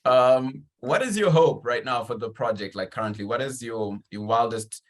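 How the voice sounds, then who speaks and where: A man's voice: medium pitch, normal speed. A man conducting a conversational interview, encouraging and appreciative, with long, wordy questions.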